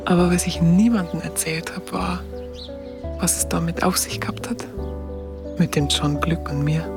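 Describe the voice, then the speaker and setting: Bavarian woman quietly recalling her past, acted. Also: lowish voice, fairly even intonation, moderate pace, music in the background, lightly acted. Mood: reflective, confiding. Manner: hesitant, colloquial, reflective storytelling. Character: Bavarian woman photographer recalling her wartime youth, proud, confiding. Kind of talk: intimate first-person radio drama recollection.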